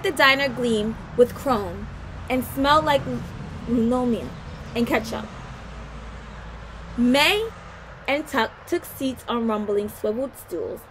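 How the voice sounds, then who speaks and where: Woman, high bright voice, read-aloud story narration, careful delivery with small stumbles, faint background noise. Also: rough recording. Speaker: guest storybook reader.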